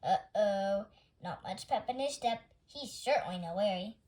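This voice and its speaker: A woman's voice: mid-range voice, lively intonation, measured pace, darkish tone, close to an everyday voice. A woman voicing disdainful, exclamatory farm animals in a storybook, full of grumbles. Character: scornful, grumbling farm animals idolising their old rooster.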